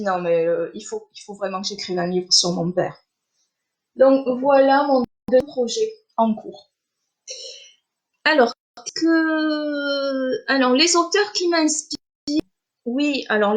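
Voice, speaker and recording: A middle-aged woman born in Armenia, an author chatting on a live stream, speaking with many pauses, fillers and restarts. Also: high-pitched. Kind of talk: casual live-stream interview.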